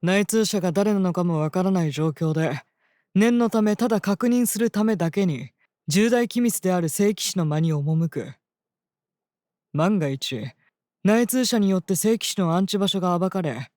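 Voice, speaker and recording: A woman's voice: on the low side, expressive, normal speed, a little mellow, no echo, clean studio sound, lightly acted. A woman playing a stern senior temple seer in a fantasy drama, lecturing in an articulate, cutting manner. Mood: stern reproach. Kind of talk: dramatic scripted voice acting.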